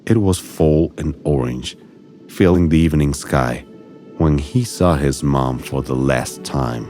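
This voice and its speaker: A man's voice: very deep voice, measured pace, dark tone, soft background music. A man reading a scripted children's audiobook story as narrator.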